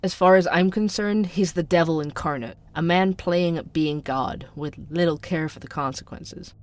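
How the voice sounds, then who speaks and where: An actor playing a sardonic, suspicious young night-shift barista who narrates a podcast in an audio drama, wry, conspiratorial and conversational. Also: male voice, on the high side, moderate pace, natural voice. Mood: wry, scornful.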